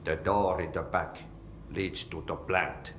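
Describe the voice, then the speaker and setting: An odd, jolly Finnish janitor with a lowish voice who drawls his mangled sayings in a lilting, unhurried way. The game line sounds echoey, with steady noise behind it. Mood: reassuring. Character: eccentric cheerful male janitor, cryptic. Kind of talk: video game cutscene acting.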